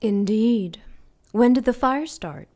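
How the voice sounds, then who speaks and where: A woman playing a vengeful supernatural villain in an audio drama, poised and probing, with quiet menace under her politeness. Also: on the high side, slow pace. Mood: cool, probing. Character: Lilith, menacing vengeful female supernatural villain posing as friendly.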